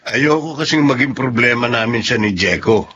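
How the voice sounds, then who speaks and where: A man with a Filipino accent playing an earnest, patient husband and son in a radio drama, gently reasoning. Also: mid-range voice, lively intonation, normal speed, slight background noise. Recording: dull lo-fi audio, faint music behind.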